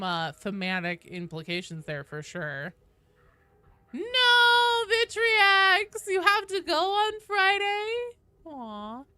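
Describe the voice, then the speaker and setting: A woman game streamer with a bright, forward voice at an average pitch. She talks casually to her chat, reading comments and answering them playfully, and she swears freely. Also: no room sound.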